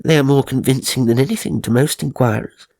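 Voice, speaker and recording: A man's voice: mid-range voice, a little fast, vocal fry, darkish tone, dry recording, pitched above the performer's own voice. A man voicing a wheedling, fraudulent female spirit medium, gushing and coaxing, in a ghost story. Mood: placating. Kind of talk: dramatised audiobook ghost story.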